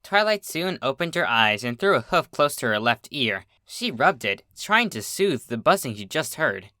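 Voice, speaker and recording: A narrator reading a scripted story aloud in an expressive storytelling style. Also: mid-range voice, normal speed, no room sound, clean studio sound. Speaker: fan fiction narrator.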